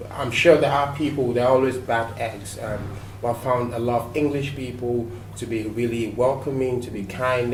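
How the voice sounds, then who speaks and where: Man from Nigeria, recorded in a roomy space. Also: medium pitch. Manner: relaxed, reflective storytelling with fillers. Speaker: guest. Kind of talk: interview answers. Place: TV studio. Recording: roomy echo, mic at a distance, faint noise.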